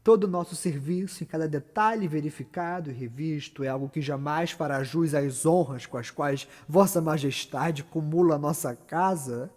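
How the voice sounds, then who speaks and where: A scheming, ruthless noblewoman of Shakespearean theatre acted out by a man. The delivery is solemn and declamatory, pitched on the high side for a male voice. A long reverb surrounds every phrase. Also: moderate pace, hardly any pauses, lightly acted. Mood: gracious, formal. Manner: solemn, declamatory, swinging from hushed to loud. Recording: long reverberant hall echo.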